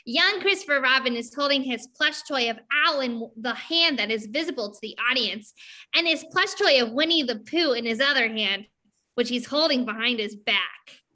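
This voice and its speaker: A woman's voice: high-pitched, moderate pace, sharp tone. A woman narrating a play script, reading out the cast and stage directions.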